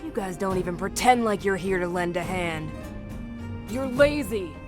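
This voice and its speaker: A woman's voice: medium pitch, lively intonation, moderate pace, lightly acted. A woman voicing a defiant, plain-spoken female miner in a video game, earnest in manner. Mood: indignant, scornful. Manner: scolding, earnest. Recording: game music behind the voice. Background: background music.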